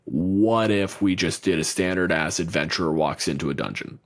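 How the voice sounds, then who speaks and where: A man's voice: deep voice, normal speed. A man co-hosting a podcast, chatting casually in a loose, profane, rambling way with frequent restarts. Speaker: podcast co-host. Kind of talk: casual chat.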